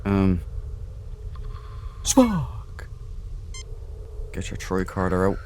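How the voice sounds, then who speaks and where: Slow, low-voiced man playing a game. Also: huge pitch swings, darkish tone, a little hiss or hum. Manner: jokey, playful, crude. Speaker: let's play host. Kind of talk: casual game commentary.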